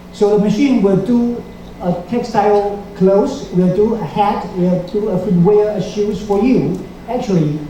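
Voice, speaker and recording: A middle-aged man from Taiwan, speaking English, gives a seminar lecture in a measured way, with small grammar slips. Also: high voice, warm tone, slight background noise. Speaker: guest lecturer. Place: lecture hall. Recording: echoey hall, mic heard from a distance.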